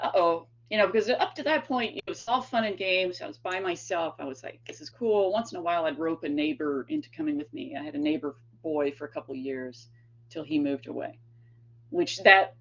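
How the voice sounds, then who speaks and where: A woman with an East Tennessee accent, giving a guest lecture and telling rambling stories about her childhood with lots of fillers. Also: on the low side. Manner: chatty, rambling anecdotes with many fillers and laughs. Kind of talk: storytelling lecture. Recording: dull lo-fi sound.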